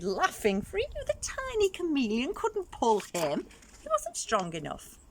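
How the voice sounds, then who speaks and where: A woman's voice: mid-range voice, a little mellow, a little hiss or hum. A woman reading a story aloud to young children expressively, adding questioning asides for the listeners.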